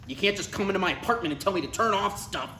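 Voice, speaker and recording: A man's voice: sits high, animated, quick pace, bright, forceful tone, exaggerated voice. A man playing a put-upon adult son in a live comedy script table read, dry, anxious and hesitant in his exasperation. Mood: dry, exasperated. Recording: echoey live room with faint noise.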